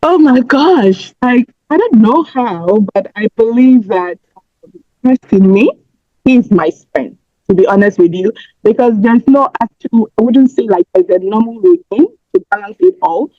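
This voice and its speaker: A woman's voice: on the high side, lively intonation, unhurried, dry recording. Chatty woman, muffled audio. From Nigeria. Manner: chatty, many fillers and restarts. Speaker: podcast guest. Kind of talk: casual interview answers. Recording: slightly muffled dry audio.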